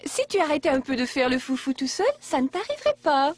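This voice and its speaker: Affectionate cartoon mother, high-pitched, swooping intonation, teasing, gently scolding, music behind. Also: very animated, brisk. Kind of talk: French children's cartoon dubbing.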